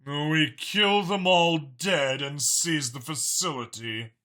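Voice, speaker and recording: A man's voice: fairly high pitch, slow pace, bright, forceful tone. A man voicing a calculating, mild-mannered dragon spymaster in an audiobook, articulate, formal and matter-of-fact about killing. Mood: commanding. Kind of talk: audiobook character dialogue.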